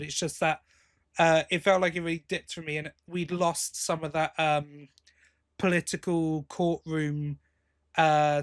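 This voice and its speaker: Man, higher-pitched voice, halting podcast chat. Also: fairly bright tone. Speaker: podcast co-host. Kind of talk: casual review chat.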